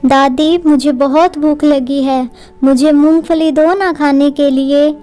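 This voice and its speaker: Begging, high-voiced little girl played by a woman. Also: steady tone, slow pace, warm tone, faint music behind. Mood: pleading. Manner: childlike, begging. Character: hungry little granddaughter begging for food.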